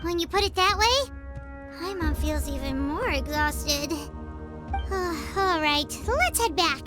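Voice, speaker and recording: A tiny, childlike game companion, chatty and cautious, with an extremely high voice. Her pitch bounces about expressively. Loud music plays behind her. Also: expressive, slow, full character voice. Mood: weary. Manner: refers to self in third person. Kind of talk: video game voice acting.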